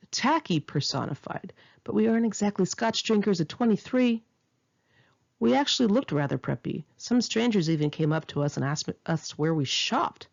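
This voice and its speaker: A woman's voice: deep voice, vocal fry, muffled recording. A woman narrating her own first-person memoir like an audiobook, with dramatic, sarcastic and indignant storytelling. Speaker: author reading own memoir aloud.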